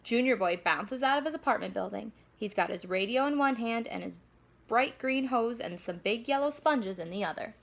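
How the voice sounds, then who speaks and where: Woman, high pitch, sharp bright tone, children's read-aloud, animated storyteller delivery. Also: moderate pace, creaky, slight background noise. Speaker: school staff member reading aloud.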